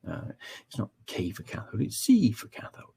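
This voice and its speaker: Scottish male trainer, deep and husky, relaxed explainer with plenty of ums. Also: moderate pace, whispered. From Scotland. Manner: explanatory, frequent ums and checking okay. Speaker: technical trainer. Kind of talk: technical webinar presentation.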